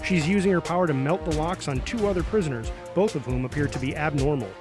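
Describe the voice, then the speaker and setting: A man's voice: on the high side, fast. A man narrating scripted superhero action, reading stage directions in a dramatic manner. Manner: dramatic, spoken sound effects. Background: music.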